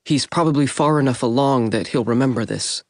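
A man voicing a calm, practical male vampire who speaks softly and matter-of-factly. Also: mid-range voice, a little fast, no echo, studio quality, natural voice. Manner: quiet, matter-of-fact. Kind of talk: audiobook character voice.

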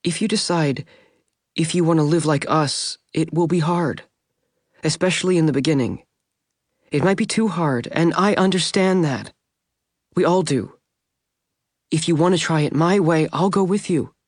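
A man voicing Edith, a soft-spoken grieving vampire woman. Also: fairly high pitch, moderate pace, long pauses, dry recording, studio quality, voice pushed up, lightly acted. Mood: earnest. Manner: soft, pleading, reassuring. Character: tender grieving female vampire, gentle and remorseful. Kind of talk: audiobook character voice.

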